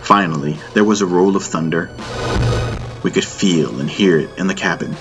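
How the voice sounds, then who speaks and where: A man's voice: sits low, moderate pace, dull audio, over loud music. A man reading a science-fiction audiobook as a dramatic scripted narration.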